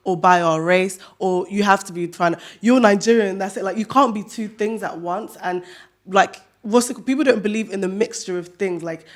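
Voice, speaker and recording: A woman's voice: mid-range voice, moderate pace. A young woman co-hosting a casual podcast, speaking frankly and agreeing often with the others. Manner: agreeable, frank, lots of backchannel. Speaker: podcast co-host.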